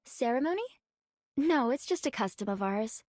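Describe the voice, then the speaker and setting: Woman doing a young nun archer game character, kinda high voice, polite n formal, earnest, super dry studio sound w/ some music under it. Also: animated, a little mellow, no room sound, close to an everyday voice. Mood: calm, formal. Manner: polite, formal, measured phrasing. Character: earnest, dutiful young nun archer, proud of her monastery. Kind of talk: scripted game character voice lines.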